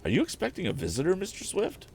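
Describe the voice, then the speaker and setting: A fussy older housekeeper from a storybook, played by a man, politely scolding. The voice is pushed up high and swoops as she exclaims. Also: wildly swooping pitch, fast, vocal fry, a little hiss or hum, exaggerated voice. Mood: inquisitive.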